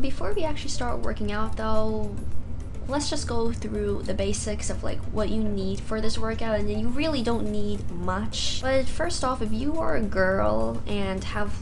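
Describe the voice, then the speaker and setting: A teenage girl talking casually to her webcam at home, explaining a beginner workout. She is getting over a cold and tosses in lots of "you guys". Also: mid-range voice. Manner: chatty, says "you guys" often, light laughs. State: recovering from a cold. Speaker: figure skating vlogger. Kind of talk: casual tutorial talk to camera. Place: carpeted basement room at home.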